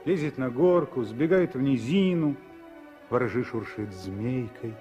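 A man narrating a 1960s radio drama as a lyrical, expressive storyteller with measured phrasing. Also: mid-range voice, soft background music, natural voice. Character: lyrical male storyteller of a civil war tale.

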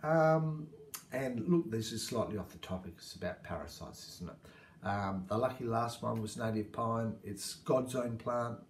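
A man's voice: mid-range voice, unhurried, a little hiss or hum. Echoey Australian man, rambling talk. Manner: warm, rambling, gestures with hands. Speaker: native plant farmer. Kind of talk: casual talk to camera. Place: small indoor room before a banner. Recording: echoey room.

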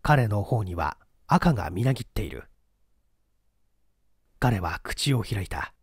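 Male audiobook narrator, literary mystery reading, deliberate dramatic delivery, animated intonation, dry close sound. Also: fairly low pitch, breathy edge, no room sound. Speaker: audiobook narrator, stage actor. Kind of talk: scripted dramatic story narration.

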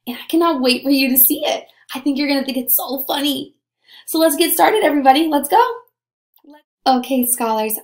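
A woman in her twenties teaching young children in an upbeat, animated, encouraging way on a video lesson. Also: fairly high pitch, reverberant. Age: 20s. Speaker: primary school teacher.

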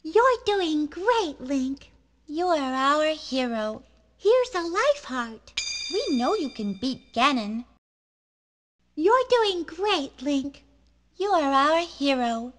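A woman's voice: high voice, sing-song, takes it slowly, full character voice. A woman playing a sweet, encouraging young fairy in a cartoon video game, sugary, with noise and clicks behind. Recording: noisy background with clicks.

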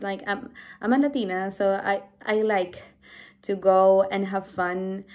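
A woman's voice: mid-range voice, darkish tone. A woman in her twenties from Chile with a Chilean Spanish accent, a native Spanish speaker and English teacher, introducing herself to camera in a small room at home, earnest and friendly, restarting her phrases often. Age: 20s. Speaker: English teacher applying for volunteering. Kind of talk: self-introduction video to camera. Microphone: device camera at close range.

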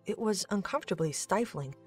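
A woman's voice: medium pitch, animated, a little fast, a little mellow, slightly rough, faint music behind. A woman giving scripted third-person narration of a story as the narrator.

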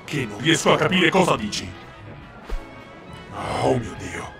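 A man's voice: medium pitch, big pitch swings, unhurried, gravelly, a bit bright, faint music behind, full character voice. A man voicing a bewildered, weary animatronic in an amateur cartoon fan dub. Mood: weary, puzzled.

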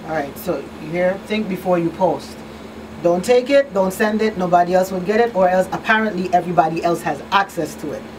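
A woman's voice: on the low side, a little fast. A middle-aged woman hosting a casual talk show discussion. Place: small radio studio. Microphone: studio microphone. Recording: distant mic, faint room hum.

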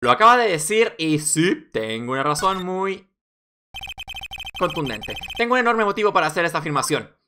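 A man's voice: a little high, big pitch swings, moderate pace, sharp tone. A man voicing an earnest young defense lawyer in a video game, hesitant but insistent. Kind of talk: video game courtroom dialogue dubbing.

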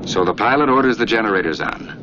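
A male actor as a stern flight instructor with a fairly low voice, needling and directing a trainee in a 1940s military training film. The old soundtrack is muffled, with clear noise behind the voice. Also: lively intonation, fast talker, natural voice. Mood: instructive. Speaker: off-screen narrator instructing a trainee.

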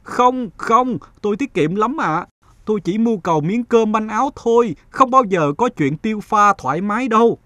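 A man voicing a whining, scheming young beggar who pleads and flatters in a cartoon morality tale. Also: high-pitched, normal speed, no echo, voice pushed up. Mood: pleading. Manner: pleading, ingratiating.

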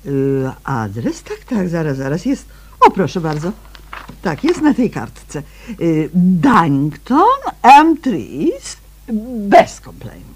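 A woman's voice: low voice, wildly swooping pitch, slow pace, breathy edge, full character voice. An actress playing a chatty, flustered female neighbour who repeats herself in a radio play. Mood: flustered, helpful. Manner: hesitant, repeats words, gossipy.

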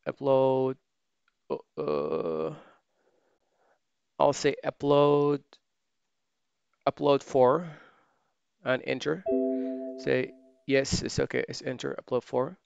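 A man giving a screen-recorded software tutorial, thinking aloud as he types and often correcting himself. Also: medium pitch, slow pace, gravelly, pauses often, clear, resonant tone, muffled recording, faint music behind. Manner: relaxed, thinking aloud while typing, many fillers and self-corrections. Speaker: software trainer.

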